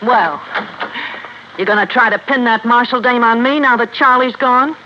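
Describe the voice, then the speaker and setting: Actress as brazen, hard-bitten dame on muffled old radio. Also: female voice, fairly high pitch, fairly even intonation, normal speed, slight background noise, lightly acted. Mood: defiant, accusing. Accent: American. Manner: coarse slangy speech. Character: brazen, hard-bitten American wife turned cornered killer. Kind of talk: 1950s radio crime acting.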